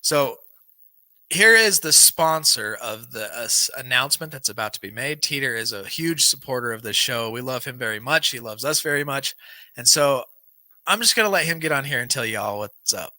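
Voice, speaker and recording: Hoarse-throated podcast host with a bright voice. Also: male voice, medium pitch, animated, moderate pace, dry recording. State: losing his voice, dry throat. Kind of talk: reading a book aloud, then casual chat. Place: small home studio with banner backdrop. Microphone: large studio mic on boom arm.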